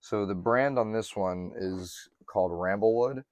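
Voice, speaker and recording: Guy for a diy van vlog, very low, a bit hoarse, dry close sound. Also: unhurried, no room sound. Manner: relaxed, chatty, many ums and throat clearing. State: slightly hoarse, losing his voice. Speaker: van life vlogger. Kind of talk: casual how-to narration to camera.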